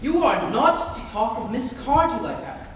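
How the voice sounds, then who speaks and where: A young man playing an exasperated, huffy young English gentleman in an amateur reading of a stage comedy. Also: very high voice, huge pitch swings, normal speed, full character voice. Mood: indignant. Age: young adult. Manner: indignant, huffy. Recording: echoey hall, distant mic, faint noise.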